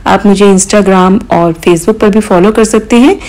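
A woman hosting a cooking channel, calmly explaining each step of a recipe in voice-over, with occasional small hesitations. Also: mid-range voice, measured pace, low-quality recording. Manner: calm step-by-step instruction with mild hesitations.

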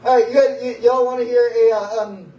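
High-pitched, rambling young man on stage. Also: fairly even intonation, fairly quick, dark tone. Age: young adult. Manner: rambling, self-conscious, often trailing off. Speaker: amateur singer-songwriter at an open mic. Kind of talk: casual rambling stage banter between songs. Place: small wood-ceilinged open mic venue, indoors. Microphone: phone in the audience, far from stage. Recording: distant, roomy, dull phone recording.

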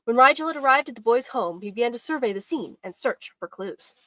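High-voiced woman reading a story aloud. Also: animated, a little fast, pushed and bright, no echo. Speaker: host and writing instructor. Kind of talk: storybook read-aloud and friendly hosting. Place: indoors by bookshelves, on a video stream.

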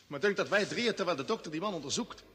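A man's voice: high-pitched, animated, racing, sharp tone, slightly rough, exaggerated voice. An actor playing a commanding, methodical male expedition leader in an old radio space drama, decisive and instructive. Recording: vintage radio drama, long studio reverb.

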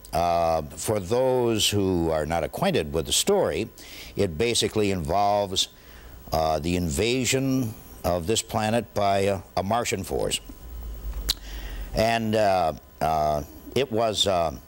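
A middle-aged American man recalling the past in an interview, wordy and halting. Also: on the low side. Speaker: interview guest. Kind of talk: interview recollection.

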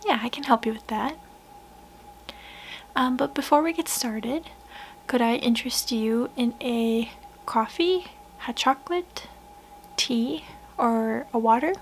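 A young woman doing a soft-spoken ASMR roleplay, hushed and gentle, often slipping into whispers, close to the mic in a small room. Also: fairly high pitch, takes it slowly, long pauses, slight background noise. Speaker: ASMR roleplayer playing a portrait painter. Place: small wood-panelled room indoors.

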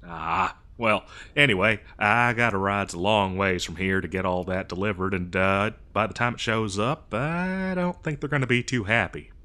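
A man's voice: fairly low pitch, pushed and bright. A man playing a dim, good-natured cowboy mail rider in a comedy roleplay, rambling hesitantly in an American cowboy drawl. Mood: flustered, puzzled. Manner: rambling, hesitant, folksy, slow-witted. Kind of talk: improvised comedy audio roleplay.

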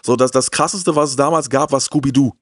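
A man's voice: mid-range voice, no echo. Middle-aged man chatting, dry close mic. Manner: halting, repeats words emphatically. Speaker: retro TV show presenter. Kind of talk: casual chat explaining cartoon history. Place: room lined with media shelves, on a couch. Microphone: handheld microphone, close.

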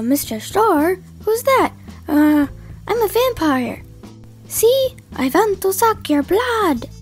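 A female performer voicing a silly, defensive husband dressed as a vampire in a children's animated roleplay, flustered and exaggerated. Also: extremely high pitch. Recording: music behind the voice.

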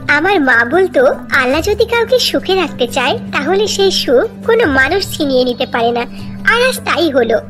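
Kind young cartoon daughter, played by a woman, earnest and courteous, pitched extremely high. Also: a bit bright, full character voice. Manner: earnest, polite. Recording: music behind the voice.

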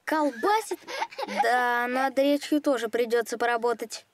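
A woman as a high-voiced little cartoon girl. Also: a little slow, background noise, natural voice. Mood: critical. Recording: music bed behind the voice.

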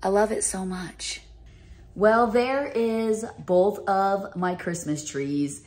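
A woman's voice: mid-range voice, slow, a little mellow. A woman in her fifties chatting to her phone camera in a home kitchen, gushing over decorations in a casual vlog. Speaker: vlogger. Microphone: phone held at arm's length.

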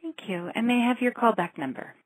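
A woman's voice: a little high, animated, fast talker, a little hiss or hum. A woman taking a call in a polite customer service style on a recorded phone line. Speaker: call taker.

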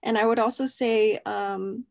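Gravelly native Spanish-speaking woman on a call. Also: a little high, steady tone, unhurried, darkish tone, no echo, clean studio sound. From United States. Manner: casual, friendly, "um" fillers. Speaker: student panelist. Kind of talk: video call panel answer. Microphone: video call.

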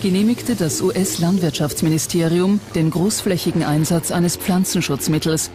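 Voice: medium pitch, steady tone, moderate pace, noisy background. A narrator reading a scripted documentary in a composed, prepared delivery. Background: background music.

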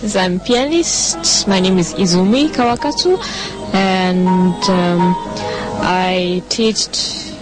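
A woman with a low voice speaks slowly and carefully as she introduces herself. Loud music fills the background. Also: low-quality recording. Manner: slow, careful non-native English. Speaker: pianist and singing teacher.

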